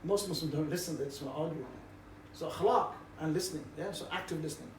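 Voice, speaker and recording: A middle-aged man with a fairly high voice, talking a group through a casual, cheeky briefing. He was recorded from across an echoey room, with faint noise underneath. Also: quick pace. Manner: cheeky, relaxed, jovial banter. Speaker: street preaching trainer. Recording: roomy echo, distant mic, faint background noise.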